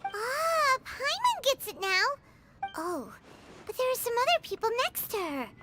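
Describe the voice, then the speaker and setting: A woman voicing a small, excitable, childlike fairy companion in a video game, with a squeaky, outspoken delivery. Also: measured pace, loud music behind the voice, exaggerated voice. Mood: excited, curious. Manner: refers to self in third person, exclaiming. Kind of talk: video game character acting.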